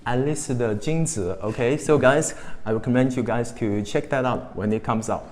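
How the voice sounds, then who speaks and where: A man's voice: medium pitch, normal speed, a little mellow. A man hosting an interview show, asking questions and agreeing often with short replies. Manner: frequent short backchannel agreement. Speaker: talk show host. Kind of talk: interview conversation.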